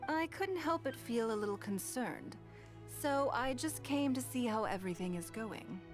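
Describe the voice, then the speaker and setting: An actress playing a poised, wealthy businesswoman in a video game, speaking in a composed, gracious, gently teasing way. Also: fairly high pitch, animated, faint music behind, natural voice. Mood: composed, concerned. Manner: polished, composed, measured.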